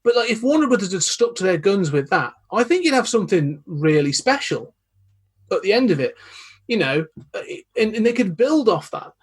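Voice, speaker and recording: Husky-voiced man, thoughtful. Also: sits high, big pitch swings. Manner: thoughtful, measured, hedging with "you know". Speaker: podcast guest. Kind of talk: casual chat.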